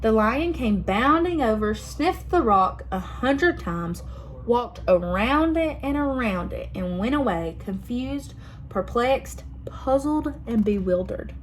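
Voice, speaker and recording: A young woman reading a picture book aloud to children, expressive and pausing to ask them questions. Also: on the high side, slight background noise, poor audio. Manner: expressive, asks listeners questions. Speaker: children's story reader.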